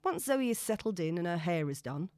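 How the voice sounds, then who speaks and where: A woman narrating a novel in a wry, expressive storytelling manner. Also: on the low side, fairly quick, dry recording, studio quality. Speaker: audiobook narrator.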